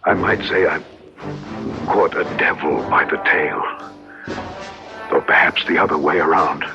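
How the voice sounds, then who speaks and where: An actor playing a grave, deliberate transformed husband in an old-time radio drama, with a measured, theatrical delivery. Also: male voice, very deep voice, gravelly, heavily acted. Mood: ominous. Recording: dull vintage radio broadcast with music.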